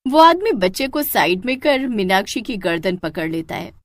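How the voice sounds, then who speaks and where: A woman's voice: medium pitch, sing-song, moderate pace, no room sound, studio quality. A woman narrating a scripted cartoon horror story.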